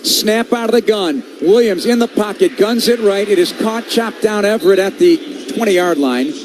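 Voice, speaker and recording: A man's voice: sits high, normal speed. An American man doing live play-by-play radio commentary on American football, in clipped phrases. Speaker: play-by-play sports announcer. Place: stadium broadcast booth. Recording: clear stadium ambience noise behind voice. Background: stadium ambience.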